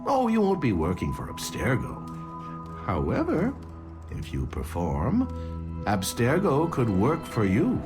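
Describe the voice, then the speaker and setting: A middle-aged corporate villain in a dramatic game cutscene. He is condescending and falsely soothing, with very wide swings of pitch over a cold menace. Music plays clearly behind him. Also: huge pitch swings, unhurried. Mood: smoothly persuasive. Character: smooth, coldly menacing corporate executive villain.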